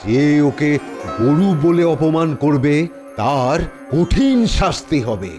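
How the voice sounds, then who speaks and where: A man voicing a pedantic, deferential royal physician in an animated comedy, halting and solemnly theatrical. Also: a little high. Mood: solemn explaining. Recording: background music.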